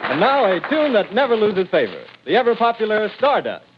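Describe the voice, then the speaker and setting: A man's voice: high voice, fairly quick, a loud crowd, heavy background noise. A man playing a showy ballroom announcer in a 1930s radio drama. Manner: lively, showy presenting. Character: bright, showy male dance-band announcer. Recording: muffled old radio recording over loud band music.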